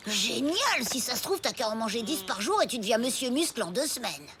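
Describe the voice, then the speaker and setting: A woman's voice: fairly high pitch, a little fast. A woman voicing a naive, eager schoolboy sidekick with a credulous manner in a French cartoon. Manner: credulous, excitable. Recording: prominent music behind the voice.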